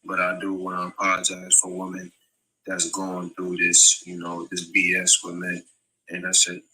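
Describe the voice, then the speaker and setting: A man's voice: low voice, monotone, normal speed, sharp tone, slightly rough, low-quality recording. A man speaking as a panel guest, subdued and apologetic, with lots of "you know". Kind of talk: casual live panel discussion.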